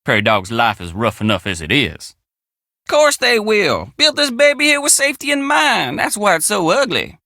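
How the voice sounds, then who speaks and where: An excitable young country handyman for a video game, with a Southern American drawl. His pitch sits high and swings widely, with a creaky edge. He talks in earnest, folksy, homespun phrases. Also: moderate pace, dry recording, clean studio sound, heavily acted. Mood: matter-of-fact. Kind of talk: video game character dialogue.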